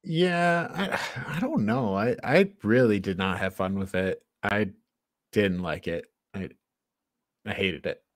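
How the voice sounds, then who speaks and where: A man's voice: on the low side, lively intonation, slow, no echo, clean studio sound. A man hosting a podcast, talking casually as he reviews a film. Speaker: podcast host.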